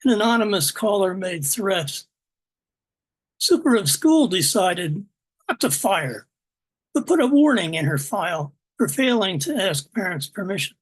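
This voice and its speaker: A woman reading her own poem aloud in a measured, deliberate way over a video call. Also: mid-range voice, sing-song, husky, rough recording. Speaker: poet reading at open mic. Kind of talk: poetry reading.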